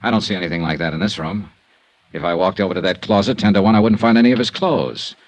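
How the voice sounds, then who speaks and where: An actor playing a tough, wry insurance investigator in a 1950s radio drama, clipped and probing. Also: male voice, deep voice, fast talker, dry recording, close to an everyday voice. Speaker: lead actor and narrator.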